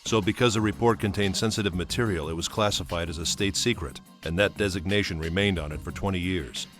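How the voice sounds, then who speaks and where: A seasoned squad officer in an anime game, with a deep voice. He explains things plainly and matter-of-factly over faint music. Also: fast talker, fairly bright tone. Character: matter-of-fact, seasoned male police squad member. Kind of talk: English anime game dub acting.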